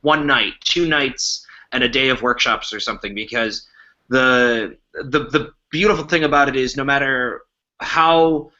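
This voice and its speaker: A man's voice: medium pitch, measured pace, pushed and bright, dull audio. A man chatting informally and enthusiastically on a podcast. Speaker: podcast guest, improv festival organizer. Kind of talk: casual podcast discussion.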